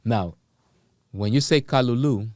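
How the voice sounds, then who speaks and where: A man's voice: mid-range voice, a little slow, darkish tone, no room sound, clean studio sound. A man from Angola hosting an interview, talking casually and often checking in with his guest. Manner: affirming, checks in often with "right?". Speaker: interview host.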